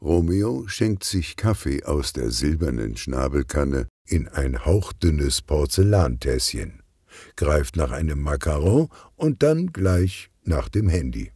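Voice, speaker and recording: A man narrating a children's crime story in a measured, expressive storytelling manner. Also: rumbling low, slightly rough, dry recording, clean studio sound. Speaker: audiobook narrator.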